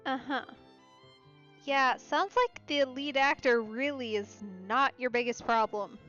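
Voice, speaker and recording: A woman's voice: sits high, unhurried, a bit bright, highs cut off, faint music behind. A woman game streamer giving casual, musing live let's play commentary in a conversational way.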